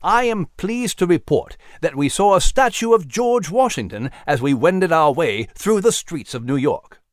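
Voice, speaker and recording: A man's voice: fairly high pitch, lively intonation, normal speed, dry recording, clean studio sound, pitched above the performer's own voice, natural voice. A man voicing a scholarly, dapper British mouse with a British accent and florid, slightly pompous diction in a storybook. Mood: pleased, erudite.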